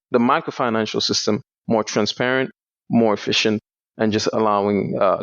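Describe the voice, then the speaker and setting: A young man from Senegal, a startup founder, explaining his work in a measured way in a podcast interview. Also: mid-range voice, no echo. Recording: dull lo-fi dry sound.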